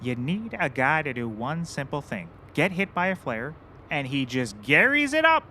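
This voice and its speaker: An actor playing a hapless, self-important and childish private eye in a scripted comedy audio show, earnest, excitable and oblivious. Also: male voice, a little high, normal speed, a little hiss or hum, exaggerated voice. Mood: petulant.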